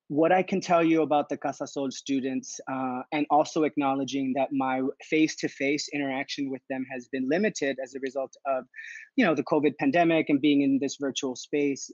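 A man's voice: medium pitch, darkish tone, no echo. A man in his thirties, a program director, answering interview questions earnestly over a video call, often checking in with "right?". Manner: earnest, thoughtful, frequent "uh" and "right?". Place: home room with plants. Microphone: video call, earbuds. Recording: dull, dry video-call audio.

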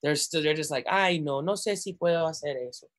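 A man's voice: a little high, fast talker. A young man, a native Spanish speaker, chatting casually as a podcast co-host on a headset video call from a room at home, acting out family voices. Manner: animated, acts out family voices.

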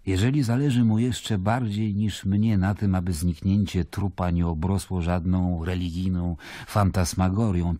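An actor playing a stern, skeptical Roman governor in a radio play, with a husky voice. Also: male voice, deep voice, warm tone, no echo, exaggerated voice. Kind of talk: dramatic radio play acting and narration.

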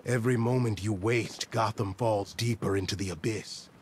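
An actor playing a solemn, zealous warrior in a video game, speaking formally and with intensity. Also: male voice, on the low side, fairly even intonation, normal speed, slight background noise, natural voice. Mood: urgent, insistent. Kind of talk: video game cutscene acting.

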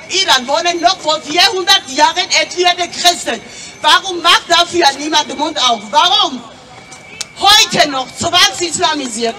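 Emotional woman shouting at a rally. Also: extremely high pitch. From Georgia. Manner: emotional, nervous, broken German, exclaiming. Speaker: rally speaker. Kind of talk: impassioned street speech. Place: outdoor city square rally. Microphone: handheld mic through loudspeakers. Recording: distant voice, crowd and street noise.

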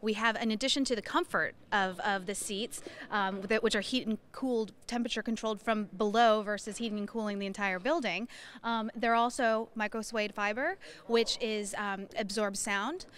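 A woman's voice: mid-range voice, fairly even intonation, moderate pace, fairly bright tone. A woman from the arts center staff speaking enthusiastically about the venue's programs during a guided tour, into a handheld mic. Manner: enthusiastic, promotional. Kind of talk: promotional guided tour talk.

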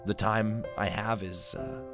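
A man's voice: on the low side, fairly even intonation, a little slow, over music, close to an everyday voice. A man playing a resigned young hospital patient, subdued, hesitant and blunt. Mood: resigned, sad.

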